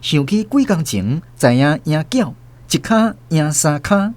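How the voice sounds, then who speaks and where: Expressive Taiwanese man narrating a tale. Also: a little high, a little slow. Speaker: radio drama narrator. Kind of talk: dramatized storybook narration.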